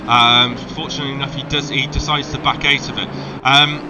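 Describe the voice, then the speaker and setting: Welsh man, race commentator, halting delivery full of ums, mid-pitched, heavy background noise. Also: little pitch movement. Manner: hesitant, many ums and restarts. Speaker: sim racing commentator.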